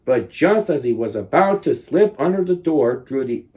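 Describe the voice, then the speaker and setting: A man in his thirties reading a children's picture book aloud expressively, leaning on key words with deliberate dramatic emphasis. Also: mid-range voice, animated, mellow. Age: 30s.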